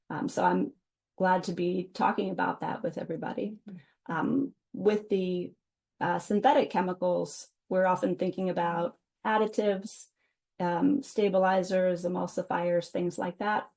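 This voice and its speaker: A woman's voice: on the low side, vocal fry, a little mellow. A woman moderating a video-call webinar, chatty and upbeat, with frequent ums and restarts. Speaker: webinar moderator and food science advisor. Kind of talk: casual webinar hosting.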